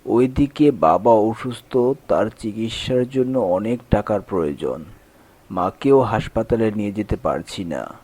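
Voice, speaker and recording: Man acting a weary, plaintive poor labourer, husky. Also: lowish voice, animated, measured pace, darkish tone. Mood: despairing. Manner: plaintive, earnest. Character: weary, poor, honest village day-labourer husband. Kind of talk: Bengali cartoon fairy-tale acting.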